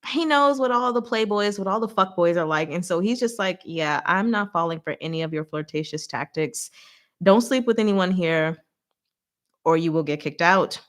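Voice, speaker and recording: A woman hosting a live recap in a casual, chatty, expressive style, talking to her audience like a fellow fan. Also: on the low side, moderate pace. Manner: chatty, expressive, giggly, slangy. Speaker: host of a live book recap and discussion.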